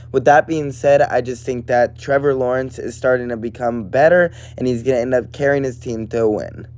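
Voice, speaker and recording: A man with a raspy, slightly hoarse voice, hosting casual sports prediction commentary in a matter-of-fact way. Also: mid-range voice, darkish tone. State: hoarse from partly lost voice. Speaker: sports prediction video host.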